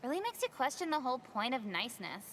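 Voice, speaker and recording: A woman playing a blunt, crude, teasing teenage girl in a game, with a brash, deadpan, mocking manner. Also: high voice, fast, a little hiss or hum, exaggerated voice. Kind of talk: scripted visual novel dialogue.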